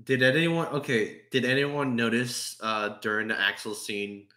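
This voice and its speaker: A man chatting casually as a podcast member, in a halting way with frequent chuckles. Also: mid-range voice, steady tone, pushed and bright. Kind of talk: casual group chat.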